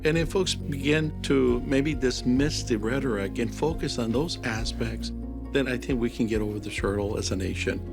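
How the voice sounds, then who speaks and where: Gravelly earnest man, music under him. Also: mid-range voice, a little slow. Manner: earnest, advocating. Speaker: agency CEO. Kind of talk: interview answers.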